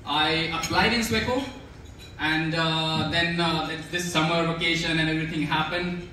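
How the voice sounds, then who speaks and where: Young man, native Hindi speaker, fairly high voice, hesitant award speech, distant mic in a big echoing hall. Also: steady tone, normal speed, sharp tone. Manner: hesitant, many fillers and restarts. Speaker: award recipient giving acceptance speech. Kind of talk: impromptu acceptance speech. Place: large banquet hall with stage. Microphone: handheld mic heard from far in the room. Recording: echoey hall, recorded from far off. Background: audience chatter and noise.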